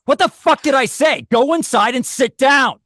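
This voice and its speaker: A man's voice: very high voice, moderate pace, pushed and bright, no room sound, clean studio sound. A man playing a cruel, volatile kidnapper in a script read, curt and commanding. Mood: explosive, commanding. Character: menacing male kidnapper, volatile and cruel, on the phone. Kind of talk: dramatic script table read.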